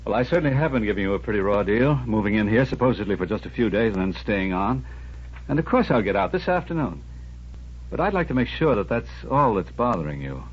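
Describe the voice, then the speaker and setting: An actor playing a composed, wry doctor with a measured, polite manner in a 1940s-style radio soap. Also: male voice, fairly low pitch, lively intonation, slightly rough. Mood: probing, controlled. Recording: muffled vintage radio drama recording.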